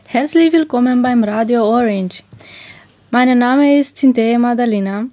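Slow, mellow young woman hosting radio. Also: fairly high pitch, no echo. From Romania. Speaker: radio show host. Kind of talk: moderating a group radio talk.

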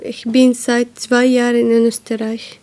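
A woman's voice: fairly high pitch, completely flat delivery, a little slow, darkish tone. A nineteen-year-old woman from Syria speaking casually as a participant on youth radio. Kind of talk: casual self-introduction.

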